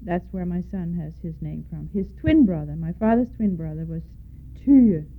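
A woman's voice: lowish voice, lively intonation, moderate pace. An older woman from Denmark with a Danish accent, recalling her life in an oral history interview and spelling out names letter by letter. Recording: tinny phone-like lo-fi tape.

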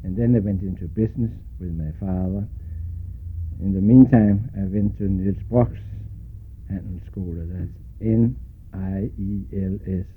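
An older Danish-accented man speaks low and unhurried, often stopping to spell out names. The sound is thin and phone-like, with a faint hiss under it. He is being interviewed about his life. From Denmark. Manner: slow, spells out names letter by letter, many hesitations. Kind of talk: oral history interview. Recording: tinny lo-fi recording with faint hiss.

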